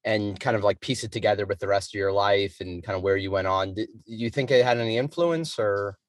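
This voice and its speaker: A man's voice: fairly low pitch, fairly quick, no room sound, clean studio sound. A man hosting an interview podcast, asking informal, rambling questions. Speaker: podcast host. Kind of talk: casual interview.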